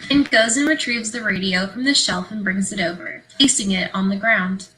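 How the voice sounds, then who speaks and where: A woman narrates stage directions from a script. Her voice is bright and medium in pitch. Faint noise runs under the livestream audio. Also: normal speed. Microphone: video call. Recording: noisy livestream call audio.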